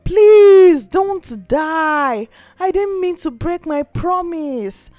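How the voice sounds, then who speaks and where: A woman's voice: extremely high pitch, lively intonation, drawn-out words, dark tone, no echo, faint music behind, voice pushed up, heavily acted. A woman voicing a tender, anxious young heroine in a folktale, earnest and emotional. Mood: distraught.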